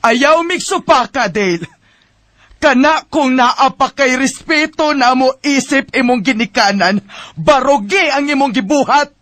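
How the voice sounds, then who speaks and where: A woman's voice: high voice, measured pace, a bit bright, slight background noise. A woman acting a stern Bisaya-speaking mother reproaching her grown son in a Filipino radio drama.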